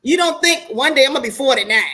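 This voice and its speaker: High, bright-voiced American woman in her forties. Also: animated, fast talker. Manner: candid, colloquial, preachy, swears freely. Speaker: online show host talking to viewers. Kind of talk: casual livestream monologue.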